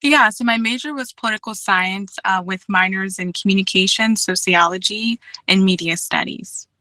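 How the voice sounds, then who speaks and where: Bright, crisp-voiced woman interviewee. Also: medium pitch, no room sound, rough recording. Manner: measured, many fillers, careful restarts. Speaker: job candidate. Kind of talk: formal job interview answers. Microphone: video call.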